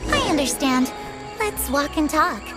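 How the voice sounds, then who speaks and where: Tiny squeaky sprite girl, super high and bouncy, game music loud underneath. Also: expressive, unhurried. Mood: chirpy. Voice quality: squeaky, childlike. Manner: eager, bouncy, sing-song. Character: small chirpy female floating companion sprite. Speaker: companion character. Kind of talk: video game voice acting. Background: game background music.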